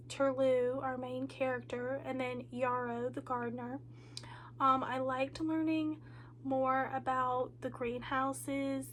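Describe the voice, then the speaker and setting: A woman's voice: sits high, a bit bright, slight background noise. A woman with a nasal, congested voice from a cold, sounding tired and drained, chatting casually in a reading update vlog with lots of ums and likes. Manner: rambling with many ums and likes. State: tired and low on energy. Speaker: booktuber.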